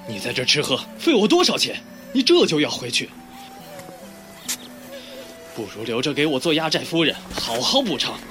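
A man playing a gruff, hot-tempered, domineering young bandit chief in an audio drama, speaking forcefully with a swagger. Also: fairly high pitch, very animated, normal speed, pauses often, soft background music. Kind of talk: audio drama acting in Mandarin.

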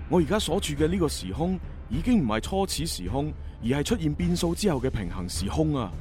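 A man's voice: on the high side, sing-song, brisk, a little mellow. A man playing an earnest, devoted young time-traveller in a radio drama. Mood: earnest realization.

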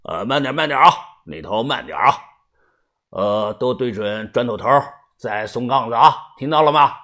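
A man voicing a commanding village feng shui master in an audio story, with bossy, ritual, drawn-out delivery. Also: medium pitch, animated, sharp tone, voice pushed down. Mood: bossy, directing.

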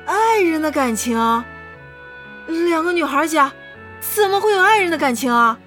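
女声优演的天真少女大夫，音调很高，起伏灵动. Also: female voice, sits high, lively intonation, normal speed, a bit bright, faint music behind. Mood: startled, puzzled. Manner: wide-eyed, hesitant when startled. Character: naive young female doctor disguised as a man, curious. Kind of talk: Chinese costume audio drama acting.

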